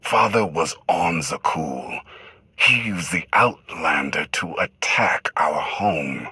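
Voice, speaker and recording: An actor playing a cold, menacing tyrant in a video game, terse and commanding, with a harsh raspy voice. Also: male voice, very deep voice, animated, slow, sharp tone, no room sound, exaggerated voice. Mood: cold anger. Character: cold, menacing male tyrant commanding knights to fight to the death.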